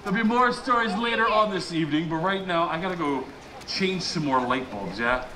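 A man from Hawaii performing live as a storyteller, telling a legend theatrically with dramatic pauses and drawn-out emphatic words. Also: sits high, expressive. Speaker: resort storyteller. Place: outdoor poolside deck at a resort. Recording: roomy, noisy outdoor live recording.

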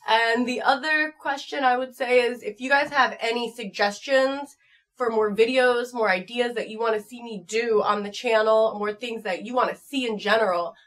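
A woman's voice: on the high side, normal speed, a bit bright. An American woman in her twenties chatting casually to viewers in a playful, frank and slightly crude way. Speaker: channel performer reading viewer comments. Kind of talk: casual vlog chat. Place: small home room at computer desk.

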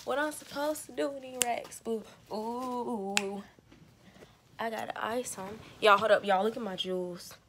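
A woman's voice: a little high, measured pace, noisy background. A young woman vlogging casually to a phone at arm's length, playful and teasing, in a car and then a small room. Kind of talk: casual chat to camera. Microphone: phone camera at arm's length.

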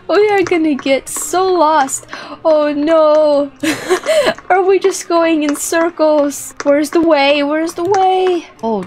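A young woman giving playful, excitable, dramatic commentary as she plays a video game. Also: high-pitched. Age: young adult. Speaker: gaming video host. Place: indoor room with plush toys. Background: music.

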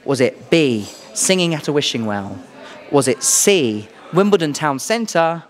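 A young adult man with a British accent hosting a playful quiz interview in an animated, exclaiming way into a handheld microphone. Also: a little high, unhurried. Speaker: host, quizmaster. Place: small furnished indoor room.

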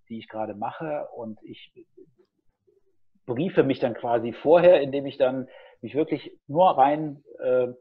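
A man's voice: medium pitch, husky. A middle-aged man giving casual, conversational answers as a guest in an interview. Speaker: podcast guest, keynote speaker and coach. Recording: narrow, phone-like line.

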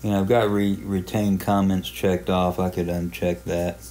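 Man, flat intonation, soft mellow tone, casual hobbyist walkthrough, plain unhurried explaining. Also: sits low, slight background noise. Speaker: amateur radio hobbyist presenting a software walkthrough. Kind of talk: casual screen-recording tutorial narration.

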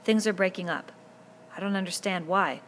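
A woman's voice: fairly low pitch, moderate pace, slight background noise, close to an everyday voice. A woman reading a novel aloud, voicing an earnest, commanding boy chief who pleads and insists as he lays down rules. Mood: urgent.

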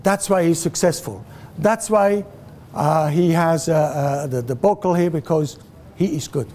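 An older man with a Swiss accent lectures in a classroom, his voice sitting fairly high for a man. He talks slowly and animatedly, full of "uh"s and restarts, with a faint hiss behind him. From Switzerland. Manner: animated, gesturing, many fillers and restarts, asks the audience questions. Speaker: guest lecturer. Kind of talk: guest lecture to students. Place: classroom with a screen, indoors. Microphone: far camera, no mic seen.

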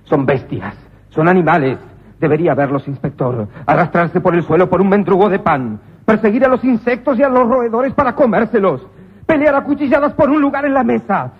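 A ruthless police commissioner in an old radio comedy, slightly high and husky. He speaks in stern, clipped orders and sometimes erupts into manic shouts. The broadcast sounds muffled. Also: animated, brisk. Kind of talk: 1990s radio comedy drama.

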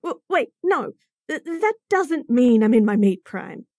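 A woman's voice: a little high, big pitch swings, unhurried, darkish tone, no room sound, studio quality, heavily acted. A woman voicing a bratty, flustered young bunny girl who stammers and giggles nervously in a roleplay audio.

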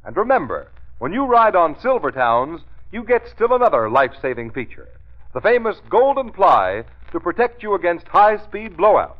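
A man's voice: sits high, sing-song, normal speed. A man announcing a 1930s radio advertisement in an emphatic, salesmanlike way. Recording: muffled 1930s radio recording.

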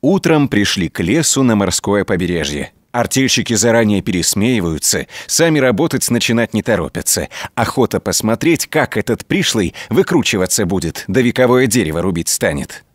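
A man narrating a scripted folk tale in a folksy, colloquial, expressive way. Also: lowish voice, normal speed, few pauses, no room sound, clean studio sound. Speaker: storyteller.

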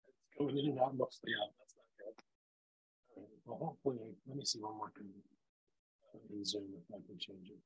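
A man with a gravelly voice presenting a casual online session for teachers over a video call, with loose, filler-heavy talk. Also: on the low side, brisk, pauses often, dull audio. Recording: video call audio, volume dropping in and out.

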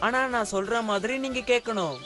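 A man voicing an authoritative cartoon king who speaks deliberately as he settles disputes. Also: high voice, lightly acted. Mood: calm, authoritative. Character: helpful-minded male king posing a riddle to settle disputes. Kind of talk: cartoon dubbing. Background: music.

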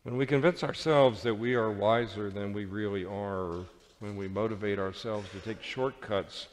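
Low-voiced male preacher in a reverberant hall. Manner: deliberate, dramatic phrasing with pauses. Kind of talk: sermon with dramatized dialogue. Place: large church sanctuary with congregation.

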